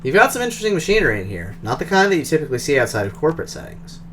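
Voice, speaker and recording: A man's voice: a little high, big pitch swings, racing, fairly bright tone, soft background music. A man reading a game's dialogue aloud as the player character, in a conversational, questioning way. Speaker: game streamer.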